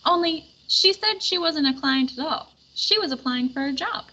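A woman reading a story aloud as narrator, with expressive scripted delivery. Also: high voice, moderate pace. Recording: dull lo-fi recording with background noise.

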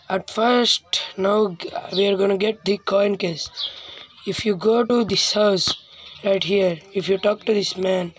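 A woman hosting a let's play with casual, informal gameplay commentary, halting and often repeating phrases. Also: mid-range voice.

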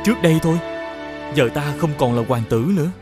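A man playing a humble, forgiving young prince in an animated fairy tale, speaking formally and earnestly. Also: a little high, fast talker, natural voice. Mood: humble, tender. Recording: clear music behind the voice.

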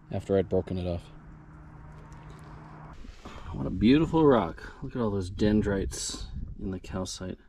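A man with a mellow, relaxed voice talks viewers through his rock finds, eager and chatty. He is outdoors on an action camera mic, with faint clicks and rustle around him. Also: fairly low pitch, slight background noise. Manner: enthusiastic, talks to viewers while handling rocks. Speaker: rockhounding vlogger. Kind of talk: casual show-and-tell narration. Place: outdoors at a mine site and a backyard.

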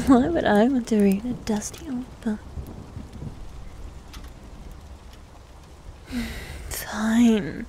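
A woman acts out a needy succubus demon servant, doting and slyly teasing. Her voice is soft and mellow, often dropping to a breathy whisper with crackles of fry. It is an intimate close-mic ASMR roleplay with faint ambient noise behind it. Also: on the high side. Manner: coy, teasing, slow and drawn-out. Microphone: close ASMR microphone.

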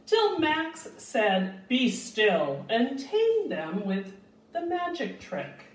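A woman in her fifties reads a picture book to children at an unhurried pace. Her voice sits a little high and swings expressively through the story. It is picked up from a few feet away in a roomy indoor space. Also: slight background noise. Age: 50s. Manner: deliberate, expressive storytelling delivery. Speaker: elementary school staffer reading aloud to children. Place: small living room at home, indoors. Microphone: phone camera a few feet away. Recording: roomy, distant phone-video sound.

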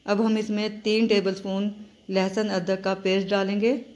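A woman hosting a home cooking channel, calmly narrating each recipe step and repeating key points. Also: medium pitch, normal speed. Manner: steady, instructive, repeats steps.

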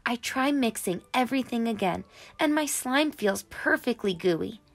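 Expressive woman reading a children's story over music. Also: a little high, normal speed, creaky. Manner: expressive, enunciated for young listeners. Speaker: children's read-aloud storyteller. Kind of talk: animated picture-book narration for children.

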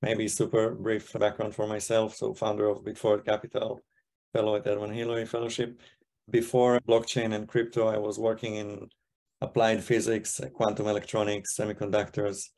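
A man's voice: fairly low pitch, monotone, normal speed, creaky, darkish tone. A man in his thirties from Israel and China, presenting to a video call in a loose, restarting way. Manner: casual, thinking aloud with frequent restarts. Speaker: guest speaker, investor. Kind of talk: webinar presentation. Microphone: computer mic on video call.

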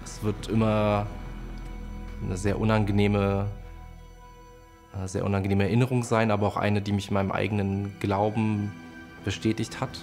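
A man's voice: lowish voice, level tone, moderate pace, pauses often, darkish tone. A young man speaking plainly and a little hesitantly in a documentary interview. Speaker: interviewee, witness. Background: music.

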